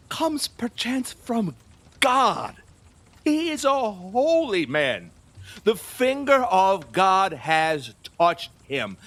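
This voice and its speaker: An actor playing a lustful, fearful, superstitious middle-aged king in an audio play, husky, wheedling and erratic, with nervous laughs and halting repeats. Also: high voice, fairly bright tone, exaggerated voice. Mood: fearful, agitated. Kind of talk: theatrical audio play acting.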